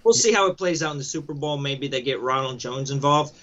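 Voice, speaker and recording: A man's voice: on the high side, brisk, bright, forceful tone. A man co-hosting a sports podcast in easygoing casual chat. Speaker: podcast co-host. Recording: dull lo-fi mic.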